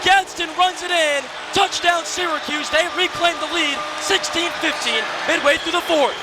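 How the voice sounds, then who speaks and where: High-pitched young male play-by-play announcer over crowd. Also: normal speed. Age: early twenties. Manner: vivid, present-tense calls in short clipped phrases. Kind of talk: live college football radio commentary. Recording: stadium crowd and loud background noise.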